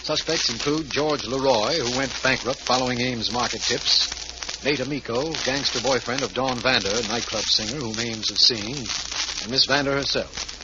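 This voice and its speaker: An actor playing an earnest, businesslike, cautious district attorney in a 1940s radio mystery drama. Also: male voice, medium pitch, close to an everyday voice. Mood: formal. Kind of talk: 1940s radio drama acting and scripted narration. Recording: noisy, muffled old radio recording.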